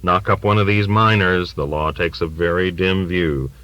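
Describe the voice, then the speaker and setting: A man's voice: low voice, moderate pace, a bit bright, dry recording, dull audio. A man voicing an emphatic, folksy, rambling old working-class father in an audiobook. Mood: confiding. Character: bigoted, confiding, long-winded old working-class American father. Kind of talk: audiobook character dialogue.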